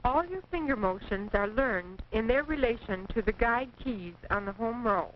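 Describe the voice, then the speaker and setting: An American woman reading scripted 1940s training film narration in a precise, measured, instructive manner. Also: on the high side, lively intonation. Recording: dull, noisy 1940s film soundtrack.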